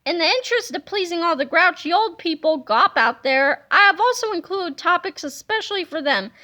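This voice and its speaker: A woman reading a children's comic book aloud in a playful, animated way, slipping into silly voices. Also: very high voice, fairly quick. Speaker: reader.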